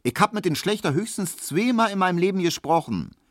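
A man voicing a plump, cheeky young maid, talking in a shrill, indignant way. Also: lively intonation, fairly quick, dry recording, studio quality, lightly acted. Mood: sassy indignant.

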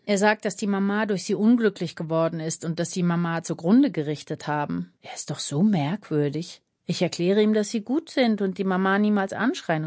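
A woman's voice: medium pitch, a little mellow, no echo, clean studio sound, natural voice. A woman reader voicing an anxious, trusting young boy in a storybook, pleading and frightened.

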